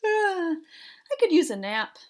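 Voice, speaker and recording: Woman playing a kindly old male zookeeper, courteous, high pitch, storybook character. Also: big pitch swings, slow pace, exaggerated voice. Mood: sleepy.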